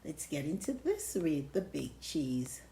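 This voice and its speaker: A woman hosting an expressive read-aloud for children. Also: low voice, measured pace, slight background noise. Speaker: children's book reader and host. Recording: roomy, echoing sound.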